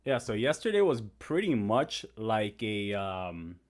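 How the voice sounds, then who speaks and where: Low-voiced man chatting on a live stream. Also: sing-song, measured pace. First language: Spanish. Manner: chatty, reads and answers viewer comments; talks through trading charts. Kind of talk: casual live-stream chat.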